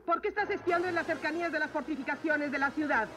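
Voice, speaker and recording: A woman's voice: sits high, fast talker, close to an everyday voice. A woman playing a stern, scornful city guard in a Latin American Spanish cartoon dub. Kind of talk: dubbed cartoon acting.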